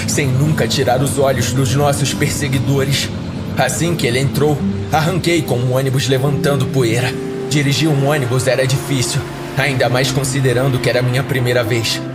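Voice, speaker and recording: A man's voice: medium pitch, steady tone, moderate pace. A man with a Brazilian accent, a podcast host, giving a dramatic scripted first-person horror narration. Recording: background music under the voice. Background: music.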